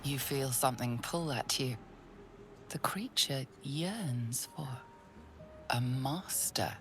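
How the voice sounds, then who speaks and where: An actress playing an ominous fantasy game narrator, hushed and deliberate, telling the story in second person. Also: female voice, extremely low pitch, expressive, exaggerated voice. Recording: music behind the voice.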